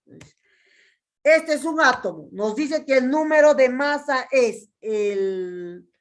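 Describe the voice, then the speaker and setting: A Peruvian woman teaching a chemistry class, working through exercises and asking her students questions that she often answers herself. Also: mid-range voice. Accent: Peruvian Spanish. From Peru. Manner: asks students questions and answers them herself, counting step by step. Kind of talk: classroom lecture solving exercises.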